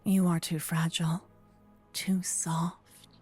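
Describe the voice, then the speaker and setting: A soft, mellow female vampire, acted by a woman, murmurs right into the ear in breathy half-whispers. She is slow and intimate, a gentle yet possessive captor who earnestly insists she is a protector. It is close binaural ASMR roleplay over music. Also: lowish voice, little pitch movement, full character voice. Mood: chiding, intense. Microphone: close binaural microphone, ear to ear.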